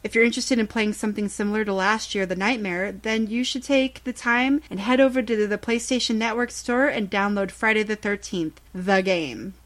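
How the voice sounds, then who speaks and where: A woman's voice: mid-range voice, moderate pace, hardly any pauses. A woman hosting a podcast segment, reading a scripted news report.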